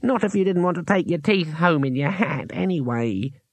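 A man voicing a small, cautious male gnome in a fantasy audiobook, speaking slowly and hedging. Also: a little high, animated, dry recording, pitched above the performer's own voice. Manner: slow, hesitant, hasty to qualify.

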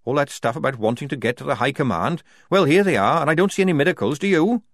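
A man's voice: on the high side, lively intonation, quick pace, no echo, clean studio sound, pitched above the performer's own voice. A man voicing a blunt, scornful young female soldier in an audiobook. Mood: defiant, scornful.

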